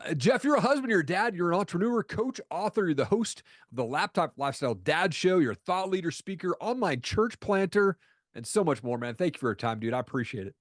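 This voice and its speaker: A man's voice: high-pitched, sing-song, quick pace, no echo, studio quality. A man hosting a podcast interview, casual and upbeat, calling his guest "man". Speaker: podcast host.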